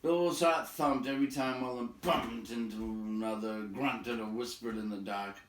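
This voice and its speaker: A man's voice: mid-range voice, steady tone, moderate pace, slight background noise. A man reading a storybook aloud to listeners, moving between narration and casual remarks. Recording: roomy echo, distant from the mic.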